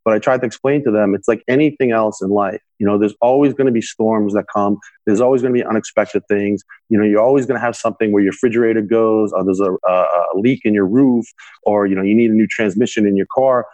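A man's voice: lowish voice, fairly even intonation, quick pace, a little mellow, no room sound, low-quality recording. A young man, a guest on an interview podcast, earnestly giving money advice and filling his speech with "you know". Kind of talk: conversational interview answers.

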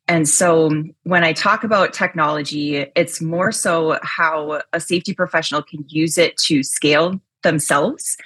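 Loud, chatty woman with a husky low voice. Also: no echo. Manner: energetic, chatty, loud with many fillers. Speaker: podcast guest, chief risk officer. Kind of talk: casual interview chat.

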